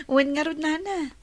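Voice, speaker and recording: A woman's voice: sits high, no room sound. An actress with an Ilocano Filipino accent playing a sweet, chatty young village woman in a radio comedy-drama.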